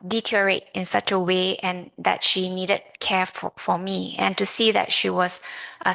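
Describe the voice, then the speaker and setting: A woman in her thirties with a Malaysian accent, giving a personal talk to an audience in a reflective, halting, conversational way. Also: medium pitch, gravelly, bright, forceful tone. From Malaysia, grew up in Kuala Lumpur. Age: 30s. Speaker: lay Buddhist speaker.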